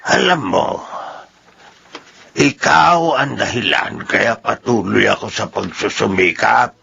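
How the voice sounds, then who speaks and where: An actor playing a doting, hardworking old grandfather in a Filipino radio drama, speaking slowly and tenderly with an aged, gravelly voice. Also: on the low side, expressive, sharp tone, exaggerated voice. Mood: tender, worried. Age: older. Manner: slow, tender, fussing. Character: doting, hardworking old grandfather who sells homemade candy. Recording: dull, slightly noisy radio recording.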